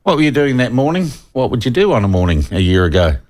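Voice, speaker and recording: A man with a New Zealand accent hosting a conversational radio interview in a studio, with a halting, hedging delivery. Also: mid-range voice, big pitch swings, a little mellow. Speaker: radio talk show host. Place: broadcast studio.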